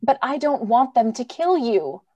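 A woman's voice: a little high, expressive, unhurried, warm tone, lo-fi sound. A woman in her thirties playing an earnest, anxious idealistic wife in old-time radio drama style. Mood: earnest pleading.

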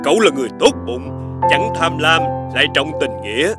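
A man playing a wealthy, decisive father in an animated folk tale, formal, effusive and authoritative. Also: a little high, fairly quick, over loud music, lightly acted. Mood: pleased, persuasive. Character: grateful, decisive wealthy father of a grown daughter.

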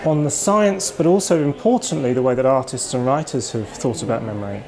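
A man's voice: medium pitch, a little fast, a crowd in the background. A man in his forties with a British accent, an author answering interview questions in a shop kitchen, thoughtful and explanatory, often restarting phrases. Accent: British English. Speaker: author and psychologist.

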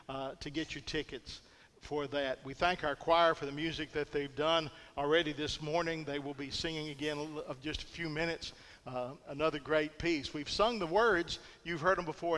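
Older man, east texas accent, bright forceful voice, jovial, reverberant church hall. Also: on the high side. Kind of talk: church announcements. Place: large church sanctuary with choir behind. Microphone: pulpit mic. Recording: long reverb of a big sanctuary.

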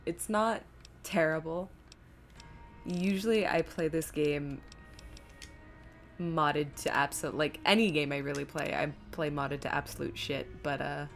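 A woman streaming a video game, chatting informally and rambling to viewers as she plays. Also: deep voice. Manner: informal, rambling, muttering to herself while playing. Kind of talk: casual live gaming commentary, chatting to viewers. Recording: game music behind the voice. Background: video game music and sound.

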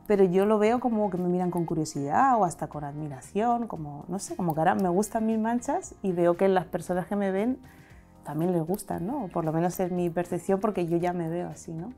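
Soft-toned woman from Madrid reflecting. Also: lowish voice, a little fast, faint music behind. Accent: Castilian Spanish. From Madrid, Spain. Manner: reflective, open, gesturing with her hands. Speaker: interviewee. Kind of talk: candid personal testimony. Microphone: lapel mic.

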